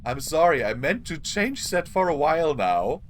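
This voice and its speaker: A man streaming a game live, hoarse and losing his voice, chatting apologetically to his viewers. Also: on the high side, very animated, moderate pace, a little hiss or hum. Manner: apologetic, chatty, talks to chat. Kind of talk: live gameplay commentary.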